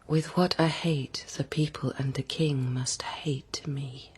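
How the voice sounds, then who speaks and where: An actress playing a stately, remorseful fugitive queen in a verse audio drama, breathy and solemn. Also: extremely low pitch, fairly even intonation, takes it slowly, darkish tone, heavily acted. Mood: anguished. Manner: solemn archaic verse delivery. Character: grieving fugitive queen hiding among nuns, stately and remorseful.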